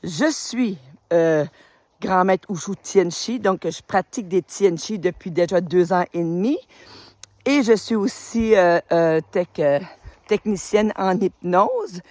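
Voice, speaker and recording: A woman's voice: fairly low pitch, slow, dry recording. Enthusiastic woman in her fifties. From New Brunswick, Canada. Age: 50s. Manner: warm, enthusiastic, rambling with many fillers. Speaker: retired teacher and spiritual group leader. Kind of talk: casual welcome video to followers. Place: outdoors on a road in winter.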